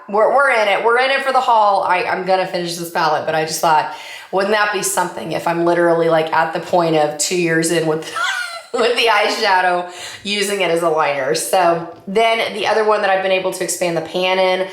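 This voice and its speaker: A woman vlogger chatting about her makeup progress in an upbeat, enthusiastic, rambling way, her voice a little raspy from allergies. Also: fairly low pitch. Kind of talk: casual chatty update. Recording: echoey room.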